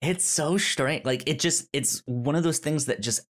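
A man co-hosting a podcast, talking casually about a film in a meandering, halting way. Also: on the high side. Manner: meandering, halting restarts, bursts into laughter. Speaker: podcast co-host. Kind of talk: casual movie discussion.

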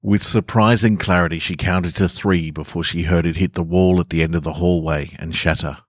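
A man's voice: sits low, steady tone, moderate pace, dry recording, clean studio sound. A man hosting a podcast, talking casually and reading short stories aloud as narrator. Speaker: podcast host and story reader.

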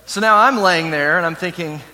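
A man preaching a sermon, telling a personal story in a casual, animated way. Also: sits high, brisk, in a large hall, low-quality recording. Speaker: pastor.

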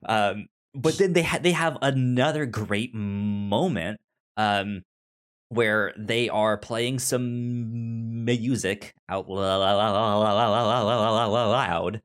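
Halting, stuttering man chatting casually. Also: lowish voice, steady tone, smooth. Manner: halting, stuttering repeats of words and syllables. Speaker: podcast co-host. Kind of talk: casual movie discussion.

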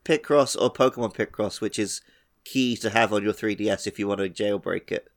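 Male podcast panellist, lowish voice, opinionated, informal conversation. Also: brisk. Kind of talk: casual chat.

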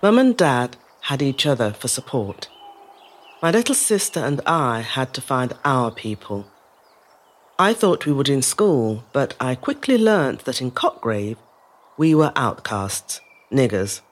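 A woman playing a resilient middle-aged woman telling her life story in a measured, reflective dramatic monologue. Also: very deep voice, heavily acted. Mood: reflective, pained. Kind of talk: scripted dramatic monologue, first-person storytelling.

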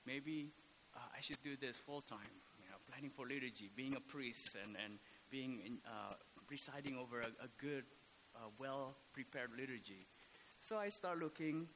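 Bright-voiced priest from Indonesia. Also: male voice, medium pitch, slight background noise, poor audio. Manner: halting, many fillers and restarts. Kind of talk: casual podcast talk.

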